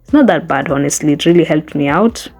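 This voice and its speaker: A young woman whose first language is Swahili speaks in a mellow, slightly low voice, chatting casually and earnestly from a small bedroom. She keeps coaxing her viewers along with friendly check-ins. Faint music plays underneath. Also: lively intonation, brisk. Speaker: hair care vlogger. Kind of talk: casual how-to chat to camera.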